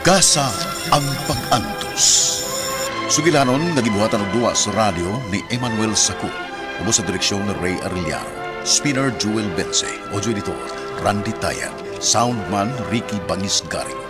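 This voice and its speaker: A man's voice: lowish voice, expressive, moderate pace. A man with a Cebuano Filipino accent narrating a radio drama in a solemn, scripted, moralizing style. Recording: radio narration over clear music.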